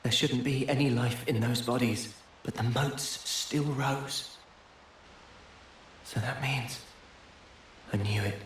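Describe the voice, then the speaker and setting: Man playing stern young man, mid-pitched. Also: fairly even intonation, slow, long pauses, a little hiss or hum, natural voice, poor audio. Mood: grave. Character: serious, coolly analytical, stern young man. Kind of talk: video game cutscene acting.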